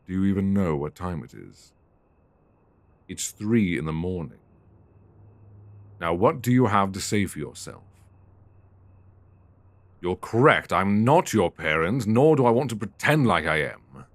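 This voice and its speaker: A man's voice: sits low, expressive, unhurried, pauses often, no room sound. A man with a British accent playing a stern, weary but devoted dominant boyfriend in an intimate, emotionally intense audio roleplay, close on a binaural mic. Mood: stern, controlled. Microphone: close binaural microphone.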